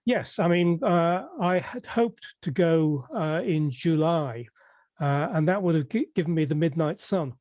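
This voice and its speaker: Older English man, interview guest, mellow voice on the high side, measured and halting, dry close sound. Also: no room sound, lo-fi sound. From Merseyside, England. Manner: measured, hesitant with many fillers and restarts. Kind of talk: conversational interview.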